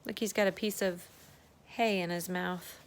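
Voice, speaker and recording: A woman's voice: on the low side, steady tone, measured pace, slight background noise. A woman reading a children's storybook aloud as a circle-time teacher, adding chatty asides, exclamations and questions for young listeners.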